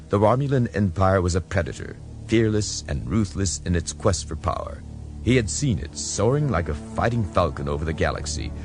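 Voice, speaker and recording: A man reading dramatic scripted narration as an audiobook narrator. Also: low-pitched.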